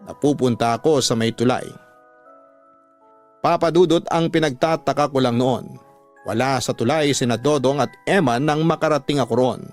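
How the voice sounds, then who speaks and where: A man delivering dramatic first-person scripted narration as a radio drama storyteller, in a measured way. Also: medium pitch, darkish tone, dry recording. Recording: music bed under the voice. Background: music.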